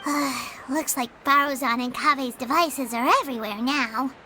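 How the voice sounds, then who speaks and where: A woman voicing a tiny, excitable, childlike fairy companion in an anime game, squeaky and chirpy. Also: unhurried, a little hiss or hum, heavily acted, low-quality recording. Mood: curious. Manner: squeaky, chirpy, third-person self-reference.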